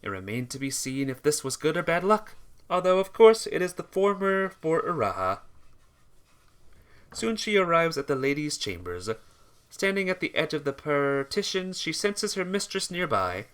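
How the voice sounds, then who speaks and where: A man's voice: on the high side, very animated, moderate pace, fairly bright tone, clean tone. A man reading a visual novel aloud as amateur narration, sometimes stumbling and rereading phrases.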